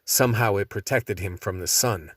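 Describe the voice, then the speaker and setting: A man's voice: lowish voice, moderate pace, a bit bright, no echo, clean studio sound. A man playing a tough survivor who narrates his fight with vampires in a first-person radio play. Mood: grim, steady narration.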